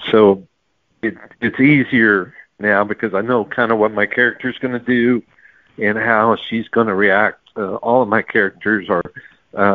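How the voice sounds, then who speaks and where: A man's voice: fairly low pitch, no echo. A man answering interview questions casually as a novelist, heard over a tinny, narrow line.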